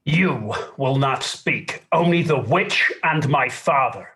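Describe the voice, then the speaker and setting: An actor playing a huge, menacing henchman at a dramatic table read, with a husky, rough voice and a curt, ominous manner. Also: mid-range voice, lively intonation, slow, pushed and bright, full character voice. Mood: threatening.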